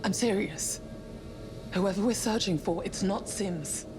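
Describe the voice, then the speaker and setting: An actress playing a wary, stubborn young crew member in a sci-fi horror game, with loud music behind her. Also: medium pitch, unhurried, noisy background, natural voice. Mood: grim, resolute. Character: wary, stubborn young woman colony-ship crew member, scared but pushing on.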